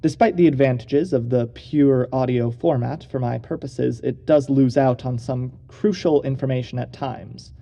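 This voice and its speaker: An actor playing a solemn investigator who narrates a secret agency's audio log in a formal, measured, creaky voice. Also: male voice, medium pitch, warm tone. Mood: measured, wary.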